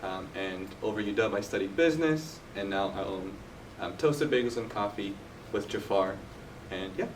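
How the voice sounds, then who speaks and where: A young man from Turkey, telling his story in a relaxed, chatty way with many fillers at a live podcast event. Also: fairly low pitch, normal speed. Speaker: guest entrepreneur. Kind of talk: casual storytelling interview. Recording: distant mic with faint background noise.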